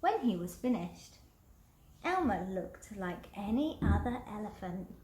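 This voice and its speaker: A woman reading a children's picture book aloud expressively and deliberately, pausing to put questions to young listeners. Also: mid-range voice, reverberant, slight background noise. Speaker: children's storybook reader.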